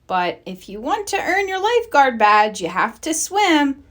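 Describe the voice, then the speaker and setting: A woman's voice: high voice, sing-song, measured pace, fairly bright tone, pitched above the performer's own voice, exaggerated voice. A woman voicing a confident, encouraging young boy team leader in a storybook, upbeat and earnest.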